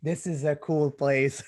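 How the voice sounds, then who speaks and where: A man hosting a podcast interview, asking questions and telling stories in a halting way with repeated words. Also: a little high, steady tone, a little mellow, no echo. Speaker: podcast host. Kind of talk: casual interview conversation.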